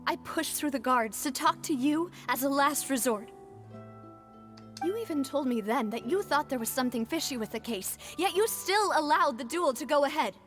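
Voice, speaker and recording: A woman's voice: sits high, expressive, moderate pace, a bit bright, soft background music. An actress playing a proud, wounded young noblewoman in a video game, articulate and dramatic. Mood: bitter, accusing. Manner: articulate, dramatic, emotionally direct. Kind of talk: English video game voice acting.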